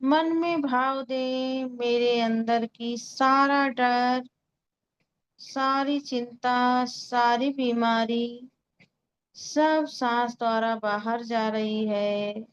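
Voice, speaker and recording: A woman leading a guided meditation in a calm, soothing way, giving unhurried instructions with long pauses. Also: a little high, clear, resonant tone, dull audio. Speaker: meditation guide and Reiki healer.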